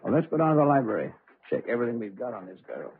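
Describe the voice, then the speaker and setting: An actor playing a gossipy, wry informant with an offhand, slangy manner in an old-time radio crime drama. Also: male voice, medium pitch, big pitch swings, very fast, husky, exaggerated voice. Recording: tinny, narrow old radio recording.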